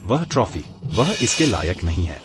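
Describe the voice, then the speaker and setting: An actor playing a calm, confident young man who exposes a fraud, speaking in a cutting, challenging way in a dubbed drama. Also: low-pitched, normal speed. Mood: scornful. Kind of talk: Hindi dub of a short drama. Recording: faint music behind.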